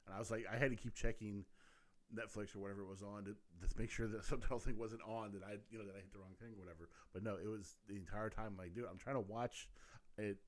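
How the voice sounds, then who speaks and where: Male co-host, blunt and profane, lower voice, quick delivery. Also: steady tone. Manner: blunt, profane, laughs easily. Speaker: podcast co-host. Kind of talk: casual chat.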